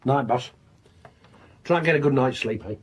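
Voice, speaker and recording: An actor playing a loyal, down-to-earth police officer in a naturalistic radio drama. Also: male voice, medium pitch, normal speed, breathy edge, close to an everyday voice. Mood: calm, supportive.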